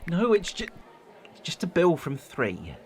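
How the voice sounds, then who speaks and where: A man's voice: fairly high pitch, huge pitch swings, measured pace. A young man playing an anxious, worn-down boyfriend in an audio drama, hesitant, stammering and pleading. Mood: exasperated, defensive. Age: young adult.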